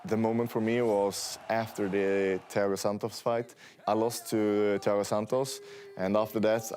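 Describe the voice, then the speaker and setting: A man's voice: lowish voice, darkish tone, slight background noise. A young man from Sweden, a non-native English speaker, answering interview questions in a hesitant way with many fillers. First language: Swedish. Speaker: fighter interviewee.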